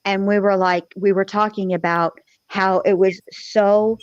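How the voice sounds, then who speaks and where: A woman's voice: medium pitch, little pitch movement, measured pace, clean tone. A woman talking casually and emphatically as a true-crime livestream panelist, with salty, colloquial wording. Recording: dull, echoey livestream audio.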